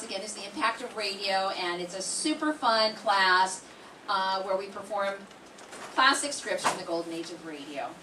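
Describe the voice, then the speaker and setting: A woman's voice: mid-range voice, recorded from a distance. A woman teaching the class, giving chatty, joking welcome remarks to an audience. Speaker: class instructor. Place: college hall with live audience. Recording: echoey room from afar, crowd noise.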